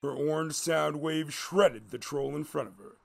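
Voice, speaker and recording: A man's voice: fairly high pitch, measured pace, vocal fry, over loud music. A man reading a scripted story as a dramatic narrator.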